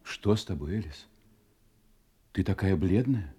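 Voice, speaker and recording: An actor playing a menacing, possessive husband in an audio drama, theatrical and coaxing with false tenderness. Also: male voice, deep voice, animated, measured pace, warm tone, breathy edge, full character voice. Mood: coaxing, insistent. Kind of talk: Russian audio drama acting.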